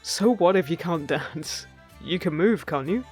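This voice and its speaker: A man's voice: fairly high pitch, animated, measured pace, a little mellow, soft background music. A man playing a friendly, teasing male fairy in an audio roleplay, chatting casually and reassuringly to the listener. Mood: cheerful, inviting.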